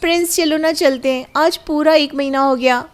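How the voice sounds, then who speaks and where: New bride female actor, cartoon, extremely high pitch, young, hopeful, frank. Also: steady tone, moderate pace, natural voice. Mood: amused, eager. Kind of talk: cartoon dialogue.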